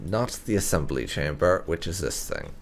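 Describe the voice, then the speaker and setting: A man's voice: sits low, expressive, normal speed, a little hiss or hum. A man giving casual, wry commentary as he plays and roleplays through a video game. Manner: wry, sardonic. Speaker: gaming video host.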